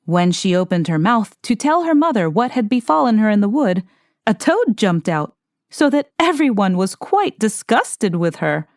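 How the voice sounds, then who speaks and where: A woman narrates a fairy tale with a lively, melodic delivery. Her pitch sits in a middle range and moves freely as she tells the story. The sound is dry, with no room around it. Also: moderate pace, no echo.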